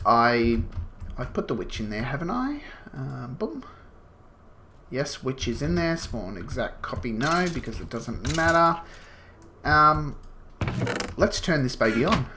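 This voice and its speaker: A man's voice: medium pitch, very animated, measured pace, long pauses, background noise. A man with a slight cold, sniffling as he gives casual, rambling gameplay commentary full of fillers. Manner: rambling, explains as he goes, many fillers. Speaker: gaming channel host.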